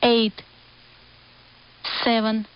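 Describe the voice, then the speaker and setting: A woman reading out numbers one at a time in a flat, measured way. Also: on the high side, clear, resonant tone. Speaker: numbers station voice. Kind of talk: reading digit groups. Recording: dull lo-fi shortwave recording.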